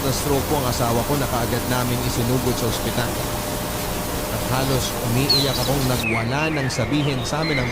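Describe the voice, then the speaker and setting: A man playing a devoted, weary middle-aged husband, narrating a drama in earnest, sentimental, deliberate phrases. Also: mid-range voice, lightly acted. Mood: grieving, pleading. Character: devoted, weary husband telling his life story. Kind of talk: dramatic first-person scripted narration. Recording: loud music laid under the voice.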